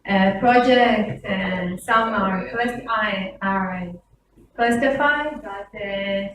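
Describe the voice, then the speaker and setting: A woman in her twenties from Israel, introducing herself to a class, halting with many fillers. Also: medium pitch, background noise. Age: 20s. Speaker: MBA student. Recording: echoey room, from far off.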